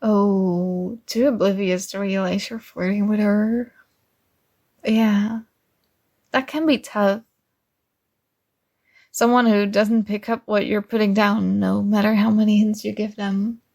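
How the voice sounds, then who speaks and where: A young woman performing ASMR roleplay as a sweet, oblivious friend, earnestly giving love advice with little hesitant pauses. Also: mid-range voice, little pitch movement, a little mellow. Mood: supportive, upbeat. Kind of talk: soft ASMR roleplay acting.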